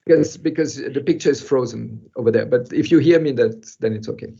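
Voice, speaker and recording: An older man who grew up in Europe tells his own story at an even middle pitch. He pauses, restarts, and laughs softly as he reflects. The sound is muffled and dull, as if heard over a video call. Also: creaky, slightly rough. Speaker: guest speaker. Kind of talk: personal storytelling in an online talk.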